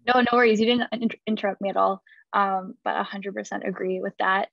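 A woman's voice: medium pitch, steady tone, fast talker, vocal fry, no echo, highs cut off. A woman moderating a panel over a video call, friendly and using many fillers as she moves to questions.

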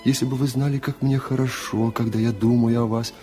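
A man's voice: medium pitch, little pitch movement, brisk, warm tone, soft background music, lightly acted. A man playing a shy young student in love, speaking with tender, adoring earnestness in a literary radio reading. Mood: lovestruck, earnest.

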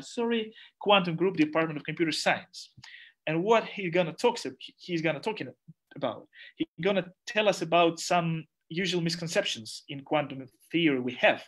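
A man's voice: on the high side, fairly bright tone. A young man whose first language is Russian, opening an online society event on a video call, gesturing and searching for words. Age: young adult. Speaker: society organizer introducing speakers. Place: small room at home. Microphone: headset on a video call.

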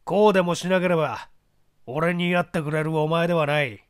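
A man playing a shabby, resentful, desperate ex-husband in a dramatic story reading, roughly pleading with menace. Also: fairly high pitch, fairly even intonation, fairly quick, natural voice. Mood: sullen, insistent. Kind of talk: dramatic audiobook character reading.

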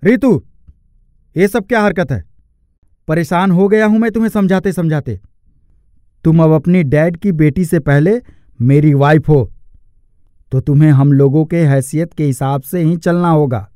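A man's voice: on the high side, a little slow, long pauses, dark tone, dry recording, studio quality, close to an everyday voice. A man voicing an earnest young husband in a cartoon, firm and reproachful. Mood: exasperated.

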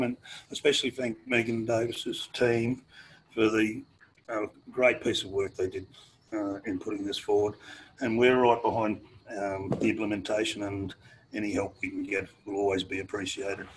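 An older man with an Australian accent, losing his voice, speaking haltingly in a webinar over a video call from a sheltered veranda. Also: fairly low pitch, steady tone, slight background noise, rough recording. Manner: halting, many fillers and restarts. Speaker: panelist. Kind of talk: webinar talk. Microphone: laptop video call.

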